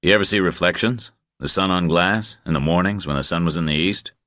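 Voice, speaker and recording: Man as a tough investigator, very deep bass voice, laconic, clipped, observant, audiobook dialogue, dry sound. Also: fairly quick, pushed and bright, no room sound. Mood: alert, analytical. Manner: clipped, laconic, matter-of-fact. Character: terse, observant tough male investigator, adult.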